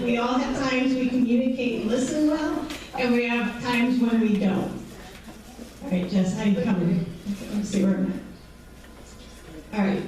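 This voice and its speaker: Woman in her 40s, counselor, high voice, conversational presenter, recorded from afar in a very reverberant hall with faint background hiss. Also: darkish tone. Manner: conversational, frequent um and right. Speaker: mental health counselor. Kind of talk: presentation to a patient group. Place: large echoey event room. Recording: echoey hall, distant mic, faint noise.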